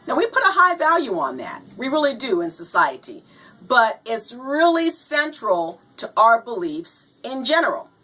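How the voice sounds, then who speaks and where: A woman hosting a video show, slow and halting, a little hoarse from a cold, talking to viewers and reading aloud. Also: a little high, very animated, sharp tone, rough recording. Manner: slow, halting, says "you know" and "now". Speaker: host.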